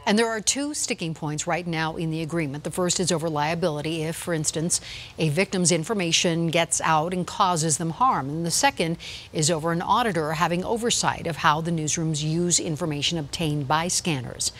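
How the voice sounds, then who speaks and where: A woman's voice: deep voice, moderate pace, fairly bright tone. An American woman presenting scripted television news as an anchor, addressing viewers directly.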